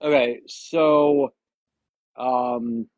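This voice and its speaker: Male caller from Indiana, gravelly and hesitant, recorded dry and close. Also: medium pitch, fairly even intonation, no room sound, dull audio. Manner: hesitant, halting. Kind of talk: casual call-in chat.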